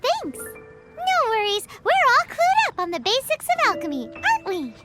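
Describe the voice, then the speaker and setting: A woman's voice: very high-pitched, wildly swooping pitch, normal speed, loud music behind the voice, heavily acted. A woman voicing a tiny, childlike female fairy companion in a video game, chirpy, cheeky and given to exclaiming. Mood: breezy. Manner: perky, exclaiming, refers to herself by name. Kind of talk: exaggerated cartoon-like game voice acting.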